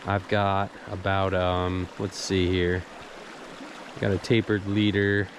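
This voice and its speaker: Chatty man by a rushing creek. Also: low voice. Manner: relaxed, chatty, explains gear step by step. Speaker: fly fishing vlogger. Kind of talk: casual outdoor vlog narration. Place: outdoors by a small snowy creek. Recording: audible stream water noise behind. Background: running creek water.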